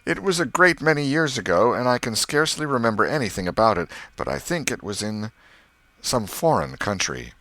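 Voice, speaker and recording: A man reading a literary audiobook as narrator, in an expressive, measured storytelling manner. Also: mid-range voice, no echo.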